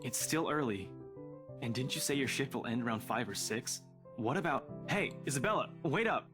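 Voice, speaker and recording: A woman plays a relaxed young man in a game story, voiced far below her own pitch. He teases in a dry, knowing way. Music plays underneath. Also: moderate pace. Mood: teasing. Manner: dry, teasing. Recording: background music.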